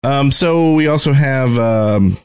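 A man's voice: medium pitch, slow, darkish tone, clear, resonant tone. A man co-hosting a gaming podcast, chatting casually with a wry, joking manner. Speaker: podcast co-host.

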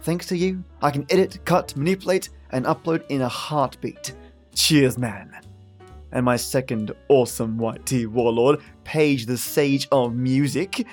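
A man's voice: mid-range voice, unhurried. A man hosting a podcast, chatty and scripted, in a playful, exaggerated, matey manner. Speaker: podcast host. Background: guitar music.

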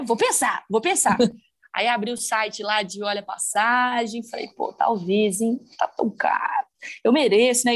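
A woman from Brazil, a guest on a podcast, telling a story in casual chat with many fillers. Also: medium pitch.

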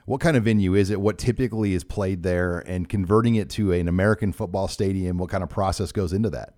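A man's voice: low voice, fast talker, darkish tone, dry recording, studio quality. A middle-aged man hosting a sports talk show, asking questions in a chatty, enthusiastic way. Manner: enthusiastic, chatty, some stumbles. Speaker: radio show host.

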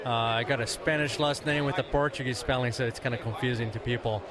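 A man from Brazil, a company founder, explaining his product in a relaxed way with small pauses. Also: mid-range voice. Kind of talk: interview answers. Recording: busy crowd chatter behind.

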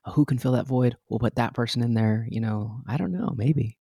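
A man's voice: medium pitch, brisk, creaky, warm tone, breathy edge, dry recording, studio quality. A man who performs on stage, guest on a casual interview, telling stories in a chatty, self-deprecating way and laughing often.

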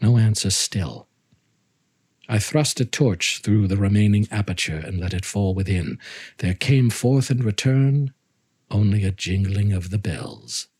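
Husky, theatrical male story narrator. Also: fairly low pitch, a little slow, darkish tone. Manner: theatrical, intense, emphatic. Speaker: host reading a story aloud. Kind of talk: dramatic literary narration.

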